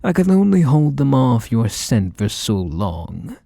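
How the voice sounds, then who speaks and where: Low, husky, unhurried mafia boss for ASMR roleplay, male actor: manipulative, obsessive sweetheart talk with quiet menace, close and dry. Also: sing-song, dry recording. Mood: softly threatening. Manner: coaxing, drawn-out endearments, softly menacing. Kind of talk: ASMR roleplay, intimate monologue to the listener.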